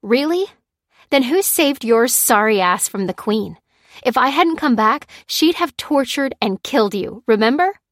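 A woman's voice: fairly high pitch, expressive, measured pace, no room sound, studio quality, voice pushed up. A woman voicing a defiant young woman survivor who snaps back defensively. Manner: snapping, fuming, defensive. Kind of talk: audiobook character reading.

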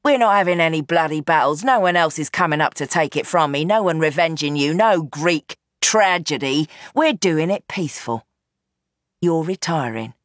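A woman's voice: low voice, expressive, normal speed, no echo, clean studio sound. A woman voicing a hard, commanding young woman taking over a crime family, fierce and defiant.

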